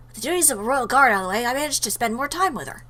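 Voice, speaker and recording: A woman's voice: on the high side, lively intonation, very fast, sharp tone, a little hiss or hum. A woman voicing a fierce, blunt female fish warrior from a game who is awkward about love. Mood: earnest. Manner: blunt, brash.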